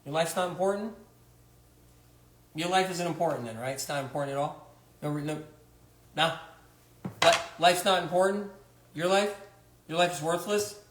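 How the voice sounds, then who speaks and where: A man's voice: on the high side, normal speed, pauses often, a bit bright, reverberant, a little hiss or hum. A man hosting a livestream monologue, emphatic and repetitive, firing rhetorical questions at viewers. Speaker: health author hosting a livestream.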